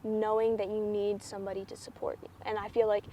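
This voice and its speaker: Teen girl guest, reflective, filler-heavy, mid pitch, light ambient noise. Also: flat delivery, fast talker, warm tone. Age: teens. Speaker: student athlete guest. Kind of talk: candid interview answers. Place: school campus, indoors.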